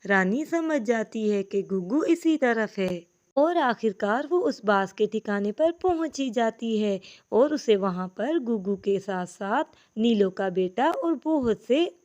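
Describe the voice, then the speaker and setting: A woman's voice: on the high side, darkish tone. Woman narrating a children's cartoon tale. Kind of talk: scripted children's story narration.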